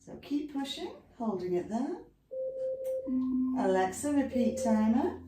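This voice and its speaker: A woman's voice: fairly high pitch, sing-song, a little slow, loud music behind the voice. A woman instructor talking a class through stretches at home in a chatty way, with lots of asides between the cues. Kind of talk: guided exercise instruction. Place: room at home with a dog nearby. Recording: echoey room, mic far off.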